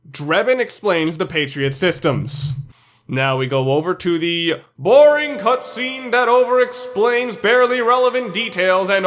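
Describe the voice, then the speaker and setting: A man with a slightly high voice narrates a countdown video. His delivery is scripted commentary, set out item by item as a video essayist. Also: fairly bright tone.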